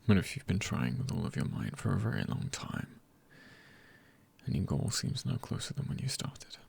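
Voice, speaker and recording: A man speaking softly in an ASMR style, hushed and often near a whisper, giving gentle encouragement in deliberate, spaced-out phrases with a breathy, husky voice. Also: deep voice, sing-song. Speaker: ASMR creator. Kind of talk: ASMR, soft-spoken encouragement.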